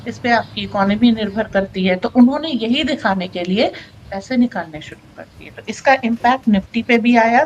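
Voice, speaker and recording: A woman giving an unscripted economic explainer, halting and correcting herself, dropping in English terms. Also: on the high side, a little hiss or hum, rough recording. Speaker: current affairs commentator.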